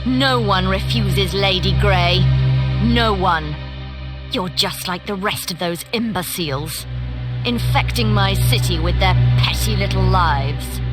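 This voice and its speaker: Slow, imperious villainous noblewoman. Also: fairly low pitch. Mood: haughty, furious. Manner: imperious, condescending, silky. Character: haughty, seductive, ruthless villainous noblewoman. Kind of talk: theatrical fantasy game villain acting. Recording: very loud music behind the voice.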